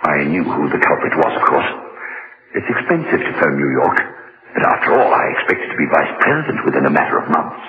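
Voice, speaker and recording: A man's voice: very deep voice, big pitch swings, a little fast, breathy edge, full character voice. An actor playing a smug, suave English businessman and blackmailer in a radio drama, with a British accent and a condescending, urbane manner. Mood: wry, self-satisfied. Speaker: actor narrating and playing the lead. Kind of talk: radio drama acting and first-person narration. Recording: muffled narrow-band radio recording, faint noise.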